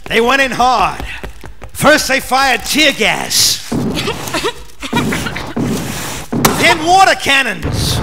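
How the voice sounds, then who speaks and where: An actor playing a world-weary, sardonic private detective in a comic noir radio play, recorded live on stage. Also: male voice, very high voice, heavily acted. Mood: escalating outrage. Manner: theatrical, wry, sardonic. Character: hard-boiled wisecracking private detective, world-weary narrator, man in his thirties. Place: theatre studio stage with live audience.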